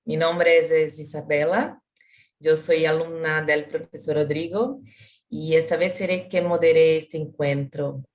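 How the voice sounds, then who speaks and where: A woman with as her moderates a video call in careful, slightly halting learner's Spanish. Her voice sits somewhat low, and the call audio is dull and lo-fi. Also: steady tone, a little mellow. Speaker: student moderator. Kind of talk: reading announcements on a video call.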